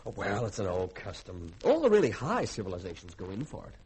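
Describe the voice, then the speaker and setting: Glib, flirtatious lieutenant, very animated. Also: male voice, on the low side, fast talker. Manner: coaxing, glib. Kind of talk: 1950s radio drama acting. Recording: noisy vintage radio recording.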